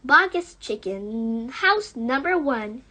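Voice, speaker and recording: Storybook father fox, played by a woman: jubilant, bossy, proud; high voice, commanding exclamations. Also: expressive, slow, sharp tone, exaggerated voice. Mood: proud, delighted. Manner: exclamatory, commanding, self-congratulating.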